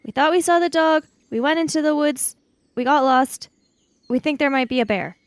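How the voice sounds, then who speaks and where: A woman's voice: sits high, moderate pace, no room sound, close to an everyday voice. A woman playing a sensible kid in improvised tabletop roleplay, reasoning aloud in a questioning, matter-of-fact way. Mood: organising.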